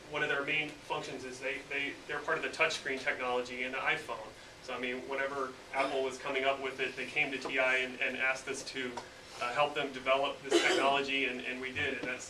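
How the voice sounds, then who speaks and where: A man, an engineer, casually explaining his work to students in a classroom, with many filler words. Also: medium pitch. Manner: relaxed, lots of "you know" fillers. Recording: roomy distant mic with noise.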